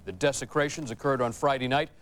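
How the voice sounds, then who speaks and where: A man's voice: medium pitch, fast talker, fairly bright tone. A man with an American accent reading scripted news. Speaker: newsreader.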